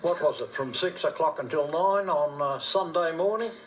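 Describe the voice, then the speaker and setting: A man's voice: a little high, moderate pace. A man, a community radio presenter, chatting casually as a live studio guest.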